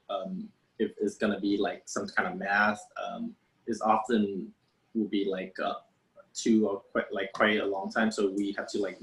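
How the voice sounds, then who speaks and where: A man's voice: on the low side, poor audio. A man from Vietnam, a special effects artist hesitantly answering interview questions and searching for words.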